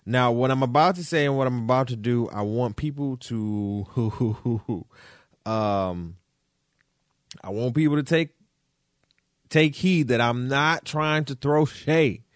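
A podcast host from Detroit doing solo commentary, roasting people in a slangy, playful way with lots of repetition. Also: male voice, medium pitch, animated, slow pace, no echo, clean studio sound.

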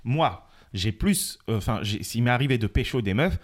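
A man talking in a casual podcast chat, halting and restarting often. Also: medium pitch, animated. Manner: halting, many restarts and fillers. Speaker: podcast co-host.

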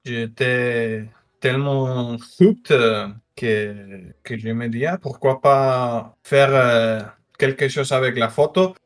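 A young Mexican man speaking French with a Mexican Spanish accent, a photographer answering interview questions with hesitant pauses and fillers. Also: medium pitch, fairly even intonation. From northern Mexico. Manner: hesitant, many fillers, slight grammar slips. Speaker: guest photographer. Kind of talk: casual interview talk.

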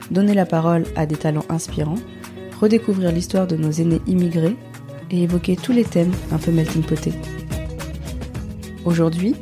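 A woman from France hosting a podcast in a scripted, narrated style. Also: fairly low pitch. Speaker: podcast host.

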